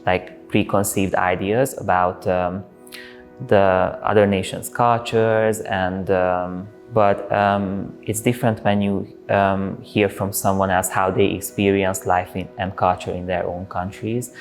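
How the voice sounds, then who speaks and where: Thoughtful young man, deep voice. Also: little pitch movement, unhurried, soft background music. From Hungary. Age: young adult. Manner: thoughtful, hesitant. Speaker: program participant. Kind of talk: interview answers.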